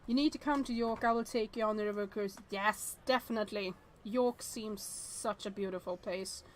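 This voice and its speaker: A woman streaming a game with casual, expressive commentary, thinking aloud and reading on-screen text as she goes. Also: mid-range voice, moderate pace, slight background noise.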